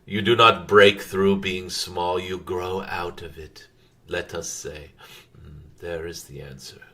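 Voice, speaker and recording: A man voicing a wise, serene dragon spirit in a channeled spiritual message, halting and contemplative with frequent hums and formal phrasing. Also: deep voice, fairly even intonation, pushed and bright, heavily acted.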